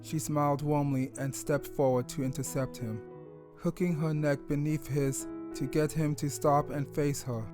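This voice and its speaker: A man reading scripted story narration in a measured, descriptive manner. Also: on the high side, little pitch movement, over music.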